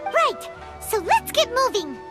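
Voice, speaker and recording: Squeaky, very high-pitched fairy girl. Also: huge pitch swings, slow pace, over loud music, full character voice. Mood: eager, surprised. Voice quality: squeaky, childlike. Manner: exclamatory, blunt, inquisitive. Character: small, excitable, childlike floating fairy companion girl. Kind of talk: anime game voice acting.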